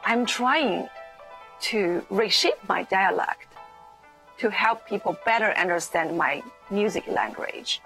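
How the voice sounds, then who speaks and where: A woman from China with a Chinese accent, speaking thoughtfully in an interview, pausing between phrases. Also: mid-range voice, animated, gravelly. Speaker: musician. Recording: soft music underneath.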